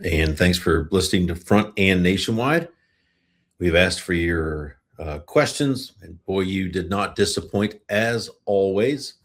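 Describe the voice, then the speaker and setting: A middle-aged man with a deep voice hosts a hockey podcast. He speaks casually and conversationally, often thinking aloud in measured asides. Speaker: sports podcast host. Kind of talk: casual hockey talk and question answering.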